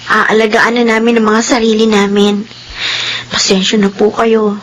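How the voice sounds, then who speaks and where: A woman playing a grateful, earnest young student in a radio drama, speaking softly and respectfully. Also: medium pitch, level tone, normal speed, close to an everyday voice. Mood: grateful, apologetic.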